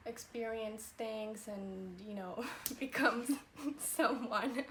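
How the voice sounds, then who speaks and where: A woman's voice: fairly high pitch, very slow, a bit bright, slight background noise. A woman in her twenties from Colombia, answering interview questions casually, giggling and filling her talk with "like" and "you know". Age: 20s. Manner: chatty, giggly, many fillers like "like" and "you know". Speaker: fashion design graduate.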